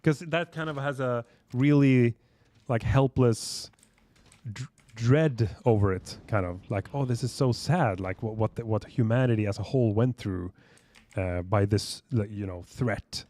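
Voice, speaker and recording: A man's voice: medium pitch, a little slow, darkish tone, dry recording. A young man chatting on a livestream as host, rambling and reading out viewer questions. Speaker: stream host. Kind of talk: casual livestream chat.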